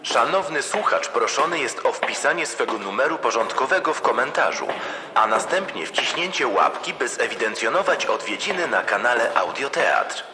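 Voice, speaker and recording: A man's voice: medium pitch, expressive, normal speed, lightly acted. An actor playing a polite, bureaucratic male institute announcer, reading a formal message in an audio drama. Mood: officious. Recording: echoey hall with long reverb.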